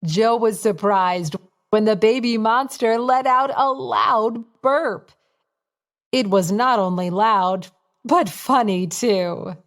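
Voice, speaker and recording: Slow-paced woman narrating children's bedtime stories. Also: fairly high pitch. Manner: expressive, simple storytelling for young children. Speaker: children's storyteller. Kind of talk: scripted bedtime story narration.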